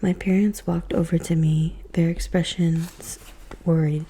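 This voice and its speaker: A woman reading a children's story aloud in a soft, unvoiced whisper. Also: lowish voice, little pitch movement, a little slow, slightly rough, poor audio.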